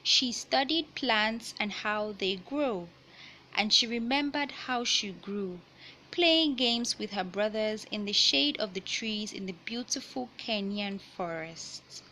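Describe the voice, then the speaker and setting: A woman reading a children's picture book aloud in a deliberate, teacherly way, pausing to explain and repeat key points. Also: medium pitch, expressive, sharp tone, a little hiss or hum, poor audio. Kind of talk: read-aloud storytelling with explanatory asides.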